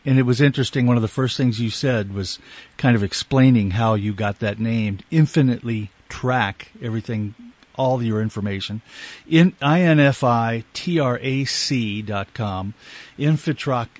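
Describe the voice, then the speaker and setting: A man's voice: medium pitch, moderate pace, no echo. Male radio host, dry close studio sound. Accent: slight Canadian. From Canada. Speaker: radio talk show host. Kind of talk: conversational radio interviewing.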